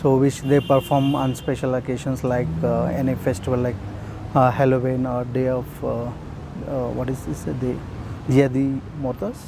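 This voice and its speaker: Indian man, young adult, halting street-interview answers, faint traffic behind. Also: medium pitch, little pitch movement, gravelly. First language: Urdu. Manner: hesitant, many fillers.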